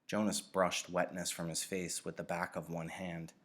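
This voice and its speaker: A teacher reading a novel aloud, narrating in a steady, even way. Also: male voice, deep voice, moderate pace.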